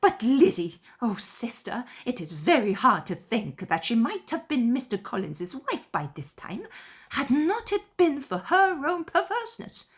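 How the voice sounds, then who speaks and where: A woman's voice: high-pitched, big pitch swings, a little slow, breathy edge, pitched above the performer's own voice, full character voice. A woman voicing a nervous, self-pitying middle-aged mother in a dramatized audiobook, with a plaintive, complaining manner. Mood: aggrieved, whining.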